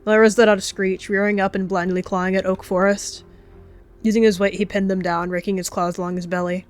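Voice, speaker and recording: A woman's voice: medium pitch, level tone, a little fast, clear, resonant tone, faint music behind. A woman narrating as a storyteller, reading scripted fiction in a dramatic style. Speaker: storyteller and roleplayer.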